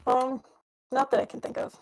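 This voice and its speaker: A woman's voice: moderate pace, a little mellow. A young woman answers interview questions in a loose, casual way. She hesitates and rambles, dropping in playful remarks between ums. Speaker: interview guest, roleplay player.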